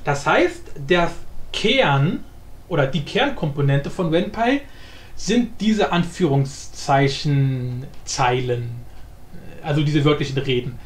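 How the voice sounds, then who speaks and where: A man hosting a casual, unscripted software tutorial, thinking aloud informally and repeating himself. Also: a little high, animated, slow pace. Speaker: tutorial host. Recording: roomy echo with faint background noise.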